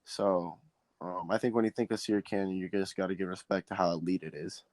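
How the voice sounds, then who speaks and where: A teenage quarterback from Southern California, a native Spanish speaker, answering interview questions earnestly with many ums and restarts. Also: male voice, low-pitched, steady tone, a little fast, a little mellow, no room sound. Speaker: high school quarterback guest.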